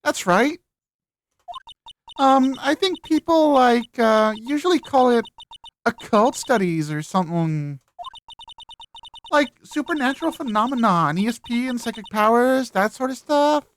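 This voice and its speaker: A woman voicing a ditzy young female student in a video game, with a drawn-out, vague, girlish valley-girl way of talking. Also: fairly high pitch, expressive, a little hiss or hum. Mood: dreamy, chatty. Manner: drawn-out vague speech peppered with "like".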